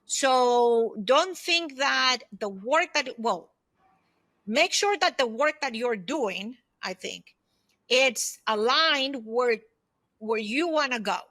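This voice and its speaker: A woman's voice: on the high side, lively intonation, slow pace, fairly bright tone. A woman in her fifties talking as an interview guest, sharing personal experience and advice in an emphatic, conversational way. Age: 50s. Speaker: guest, solopreneur consultant and former aerospace executive.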